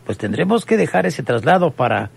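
A small-town mayor in a radio drama, heavily creaky and hoarse-edged. He talks in stiff official phrases broken by constant hems, his pitch swinging widely. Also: very animated, a little fast, exaggerated voice. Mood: officious, hesitant. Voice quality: gravelly, husky. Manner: constant "eh" hesitations, stammering officialese. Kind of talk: old radionovela acting.

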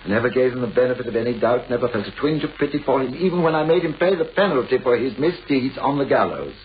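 Radio play novelist, played by a man: British, fussy, anxious, formal and hesitant, with very lively intonation, on a dull, hissy old recording. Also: mid-range voice, quick pace, close to an everyday voice. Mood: confiding, troubled. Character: anxious, mannered male novelist, fussy and self-absorbed.

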